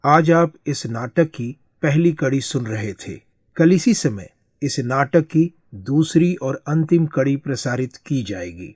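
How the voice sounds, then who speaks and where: A male radio announcer in a close, dry recording. His medium-pitched voice delivers formal scripted announcements. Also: expressive, unhurried, a little mellow, slightly rough, dry recording.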